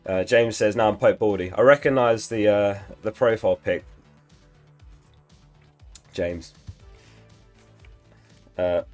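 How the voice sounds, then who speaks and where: Male live-stream host, slightly lost voice, low register, casual and chatty. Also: slow, pauses often, darkish tone, faint music behind. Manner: reads out chat messages, chatty and upbeat. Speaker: livestream host.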